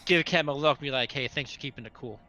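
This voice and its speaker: A man's voice: medium pitch, fast, pushed and bright, highs cut off, faint music behind. A man chatting casually as a tabletop game player, tentatively thinking aloud about his next move.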